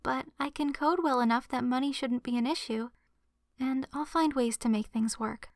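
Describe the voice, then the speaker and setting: A high-voiced, shy young succubus played by a woman confides in the listener in an ASMR roleplay. Her delivery is soft, earnest and a little hesitant, with a lovestruck gentleness. The sound is close and very dry, with no room echo. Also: fairly even intonation, normal speed. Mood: earnest, confiding. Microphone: close microphone in a dry space.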